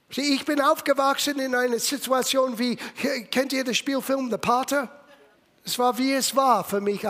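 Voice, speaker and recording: A man in his sixties with an American accent, preaching a sermon in non-native German with English slips, swinging from loud bursts to hushed lines. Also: very high-pitched. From United States. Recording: long hall reverb.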